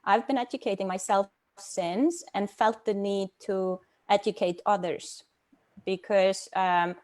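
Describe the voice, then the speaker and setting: A middle-aged woman from Iceland speaking as a guest on a video call, thoughtful and hesitant, with many pauses and fillers. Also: medium pitch, fairly even intonation, darkish tone. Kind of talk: casual personal talk.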